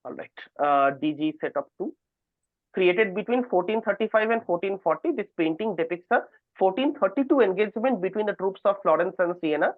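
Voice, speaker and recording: Man, quizmaster, high-pitched, phone-like narrowband audio, reading out questions. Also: lively intonation, fairly quick, no room sound. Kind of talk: reading quiz questions aloud to contestants.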